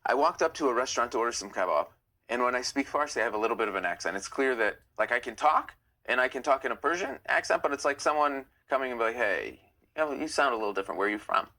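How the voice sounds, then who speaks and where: A young man with a bright, forceful voice talks close to the mic as he hosts a live stream. He chats casually and reads out viewer comments, and his voice climbs higher when he gets worked up. The pitch sits in the middle for a man. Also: expressive, quick pace. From United States. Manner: chatty, opinionated, gets heated and rises in pitch.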